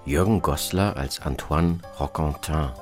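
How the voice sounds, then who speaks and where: A man's voice: extremely low pitch, measured pace, darkish tone. A man presenting in a scripted documentary narration style, with a dry, sardonic manner. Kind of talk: scripted documentary narration about radio plays. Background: music.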